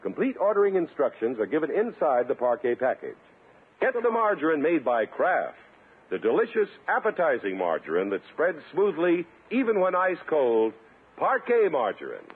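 A man works as a 1950s radio announcer, reading scripted copy with animated intonation. His voice is a little rough. The old recording is muffled, with faint music. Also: fairly high pitch, normal speed.

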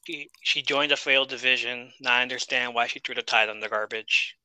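A man's voice: medium pitch, fairly even intonation, brisk, pushed and bright, clean tone, no room sound, low-quality recording. A man co-hosting a casual wrestling podcast, chatty and wry, often laughing at his own jokes. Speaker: podcast co-host.

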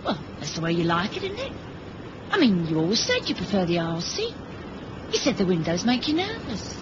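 A woman's voice: mid-range voice, wildly swooping pitch, fairly quick. Needling British woman agent, swooping intonation. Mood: teasing. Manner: needling, sarcastic. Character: tough, possessive female British agent, teasing and jealous. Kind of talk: 1970s radio spy drama acting. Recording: muffled old radio recording, clear noise.

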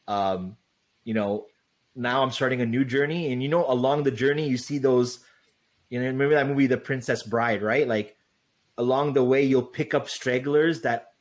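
Young adult man, casual interview guest, loud voice, rambling delivery with constant fillers. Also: medium pitch. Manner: loose, rambling, heavy on "like" and "right?".

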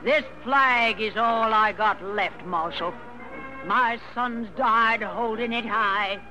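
An actor playing a proud, stubborn aging loyalist in an old radio western. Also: male voice, high voice, bright, forceful tone, full character voice, rough recording. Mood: proud defiance. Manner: slow, stubborn, defiant. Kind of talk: 1950s American radio western acting. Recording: vintage radio drama, faint music behind.

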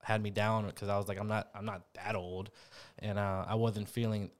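Young guy from memphis, lowish smooth voice, lots of ums, casual chat. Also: flat delivery, normal speed. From Memphis, USA. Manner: chatty, hesitant with many fillers, laughs often. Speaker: gym member, guest. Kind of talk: casual interview.

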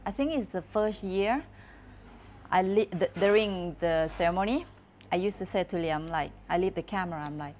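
A woman's voice: lowish voice, darkish tone, slight background noise. A woman with a Thai accent, not a native English speaker, talking candidly as a guest photographer and often restarting her sentences. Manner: candid, halting, with many restarts and fillers. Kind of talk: casual podcast chat.

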